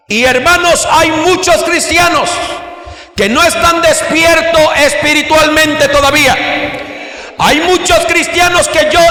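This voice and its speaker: High-voiced man shouting a sermon. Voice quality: impassioned preaching that swings between shouting and quieter passages. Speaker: preacher. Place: church sanctuary. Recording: echoey church hall with long reverb.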